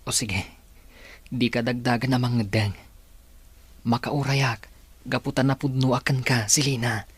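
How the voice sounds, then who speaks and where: A man's voice: medium pitch, fairly even intonation, a little slow, long pauses, slightly rough, no room sound. A man playing a sincere, lovesick young suitor, pleading his case in a radio drama. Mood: earnest.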